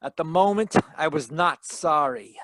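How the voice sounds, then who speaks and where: A man playing a wry, deadpan hardboiled detective who narrates his case in 1940s radio style. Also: fairly high pitch, measured pace, dry recording, poor audio. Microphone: close home mic on a video call.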